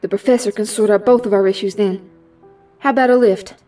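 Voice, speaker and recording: A woman's voice: mid-range voice, steady tone, brisk, dark tone, distant mic, soft background music, close to an everyday voice. An actress playing an emotional young female superhero in an audio drama, with a folksy, heartfelt manner. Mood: concerned.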